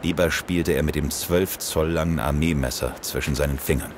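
A man playing a cool, defiant police detective in an audio drama, speaking in a dry, deadpan manner. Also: extremely low pitch, moderate pace, noisy background. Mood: brooding, observant. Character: cool, defiant male police detective narrating his case. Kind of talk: first-person narration and dialogue in German audio drama.

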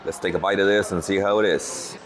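A man with a fairly low voice hosts a relaxed food vlog, talking through each dish at a restaurant table. He is easygoing and often repeats words as he gathers his thoughts. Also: a little fast, music in the background, rough recording. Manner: easygoing, repeats words while thinking aloud. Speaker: vlogger host. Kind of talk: casual food vlog chat.

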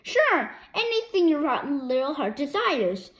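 Woman doing an eager helpful cartoon cat explaining stuff, high voice, encouraging. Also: sing-song, measured pace, a bit bright, exaggerated voice.

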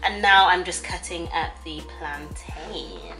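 A woman's voice: on the low side, very animated, slow pace, sharp tone, poor audio. A young woman casually narrating her cooking in a home kitchen, chatty and informal, with playful asides. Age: young adult. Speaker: cooking vlogger. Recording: music and frying sizzle behind voice. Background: music, sizzling food, stirring.

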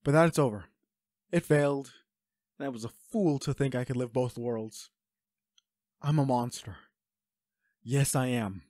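A man's voice: mid-range voice, a little slow, pauses often, darkish tone, no room sound, natural voice. Man acting an anguished werewolf boyfriend, close and dry. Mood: anguished, firm. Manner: emotional, urgent, pleading. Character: anguished, protective, self-loathing male werewolf boyfriend. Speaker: audio roleplay voice actor. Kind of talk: intimate dramatic roleplay acting.

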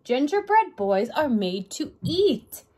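A woman's voice: sits high, sing-song, slow pace, dark tone, pitched above the performer's own voice, exaggerated voice. A woman voicing hungry farm workers calling out in a storybook. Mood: coaxing.

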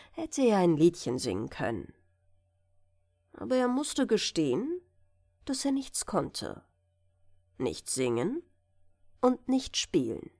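A woman narrating a fairytale audiobook in an expressive bedtime-story style. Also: mid-range voice, no room sound, clean studio sound.

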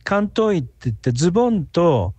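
A man's voice: high-pitched, very animated, moderate pace, a little mellow, no room sound. A man speaking as a guest researcher in a radio interview, explaining things politely.

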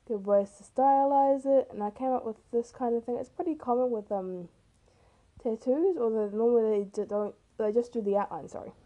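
Teen girl art vlogger, hoarse rough voice, mellow mid-range tone, chatty voice-over. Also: medium pitch, rough recording. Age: teens. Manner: chatty and self-deprecating, rambling with fillers. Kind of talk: casual voice-over on a speed paint. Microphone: camera mic.

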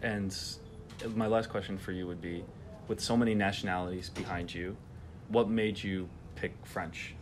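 A young man with a very low voice hosts a tennis vlog. He asks relaxed, friendly questions, leaning on "kinda" and "mm-hmm". The recording was made close up on a small camera in a press room, and a steady background noise runs under it. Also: moderate pace. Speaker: tennis vlogger and interviewer. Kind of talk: casual interview and vlog sign-off.

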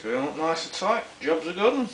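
A man's voice: high-pitched, big pitch swings, moderate pace, a bit bright. A British man giving a casual, jokey assembly commentary, muttering to himself as he works in a garage workshop. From United Kingdom. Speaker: vlogger. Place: indoors in a concrete-floored garage workshop. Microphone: camera mic a short distance away. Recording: roomy, distant mic, very noisy with clicks.

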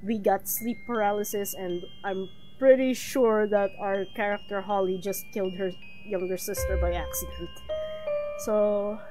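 A woman's voice: medium pitch, steady tone, measured pace, mellow, soft background music. A young woman giving casual game commentary as she streams a horror visual novel. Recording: noisy home recording with insect-like hiss.

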